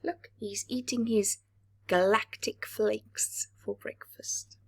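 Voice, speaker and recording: A woman's voice: medium pitch, slow, husky, in a whisper. A woman reading a picture book aloud to young children, with dramatic delivery and asides to the listeners. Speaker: children's story reader. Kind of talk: expressive read-aloud picture-book narration with whispered asides.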